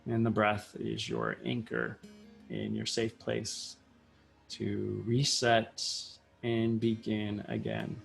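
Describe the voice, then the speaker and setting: Male meditation guide, very slow and soothing, gravelly fry in the voice, soft guitar music behind. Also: fairly low pitch, very animated. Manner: measured, instructing in short phrases with long pauses. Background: soft plucked guitar music.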